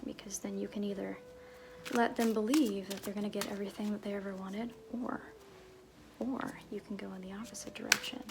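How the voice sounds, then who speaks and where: A woman playing a flirtatious, roguish circus fool in a soft-spoken ASMR roleplay with whispers, theatrical and sly, with hesitant pauses. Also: medium pitch, background noise, lightly acted.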